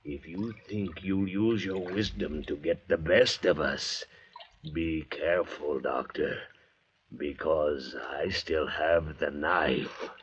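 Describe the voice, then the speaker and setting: An actor playing a threatening, suspicious and hot-tempered convict in an old-time radio drama, with theatrical delivery. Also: male voice, very deep voice, slow pace, husky, full character voice. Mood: quietly menacing. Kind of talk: 1940s-50s radio drama acting. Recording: dull, hazy old radio recording.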